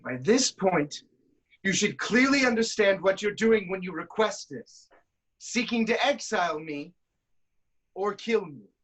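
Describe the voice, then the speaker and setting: Woman as a suspicious proud greek king, stern slow declaiming, medium pitch, tragedy reading. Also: animated, slightly rough, low-quality recording. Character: proud, suspicious, hot-tempered male king of Thebes.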